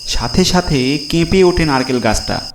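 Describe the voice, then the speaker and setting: A man's voice: on the high side, expressive, moderate pace, noisy background. A man narrating a scripted horror story in a dramatic storytelling style. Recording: roomy echo, loud music. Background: loud background music.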